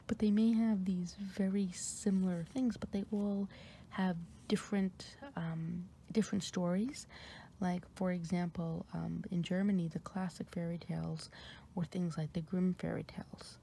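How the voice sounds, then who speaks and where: A woman from New York with an American accent, softly whispering an ASMR ramble with many fillers and pauses. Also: level tone, vocal fry, a little hiss or hum. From New York, USA. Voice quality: deep voice for a woman. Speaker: ASMR creator.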